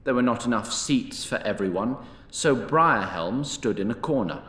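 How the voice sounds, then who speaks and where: A man giving scripted narration for a fantasy audio drama, in a wry and deliberate manner. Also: medium pitch, animated, fairly bright tone, roomy sound.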